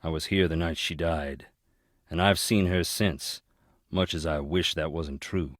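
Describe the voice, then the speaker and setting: A man's voice: rumbling low, fairly even intonation, unhurried, dry recording, studio quality. An actor with a Southern US accent playing a blunt, folksy, brooding man haunted by family tragedy in a video game. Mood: somber. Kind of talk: video game character dialogue.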